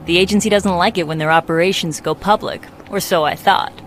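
A woman's voice: on the low side, steady tone, fairly quick, close to an everyday voice. A woman playing a frank, skeptical covert ally in a video game, dry and plain-spoken. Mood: dry, concerned. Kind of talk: video game cutscene acting. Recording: loud music behind the dialogue.

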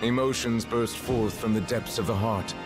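An actor playing a solemn, dignified judge in a video game trailer, speaking in a formal, measured, grave manner. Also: male voice, medium pitch, steady tone, over music, lightly acted. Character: solemn, dignified male judge of a grand court. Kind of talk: dramatic scripted game trailer narration.

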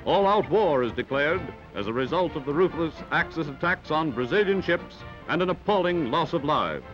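A man's voice: a little high, animated, normal speed. An American man reading a scripted 1940s newsreel in a dramatic, emphatic delivery. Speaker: newsreel narrator. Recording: dull old 1940s film soundtrack, loud music.

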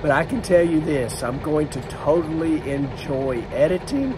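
A man, vlogging on his phone with a hoarse, worn-out voice from screaming, excitable and laughing. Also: a little high, normal speed, noisy background. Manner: excitable, laughing, lets out loud woos. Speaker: drag racing driver and vlogger. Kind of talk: casual vlog to camera. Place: inside a trailer or motorhome. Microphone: phone camera at arm's length.

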